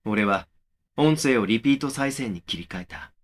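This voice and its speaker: A man playing a young man who narrates his eerie experience in a horror audio drama, measured and serious. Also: medium pitch, animated, slight background noise, close to an everyday voice. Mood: serious, suspenseful. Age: young adult. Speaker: first-person narrator of a horror story.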